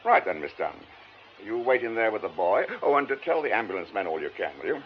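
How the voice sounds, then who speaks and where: A man's voice: on the low side, sing-song. A male actor playing an officious but kindly police sergeant in a radio drama, matter-of-fact and firm. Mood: businesslike. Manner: matter-of-fact, unhurried authority. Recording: dull radio recording with clear noise.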